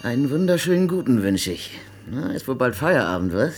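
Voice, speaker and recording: An actor playing a creepy, flattering male stranger, insinuating and halting as he repeats himself, in radio drama style. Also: medium pitch, breathy edge, faint music behind. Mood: oily, flattering. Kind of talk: German radio crime drama acting.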